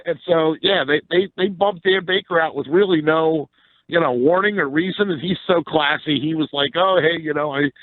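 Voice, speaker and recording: A man's voice: a little high, no echo, narrow, tinny audio. An American man chatting casually and bluntly about sports as an opinionated radio guest. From Philadelphia area, USA.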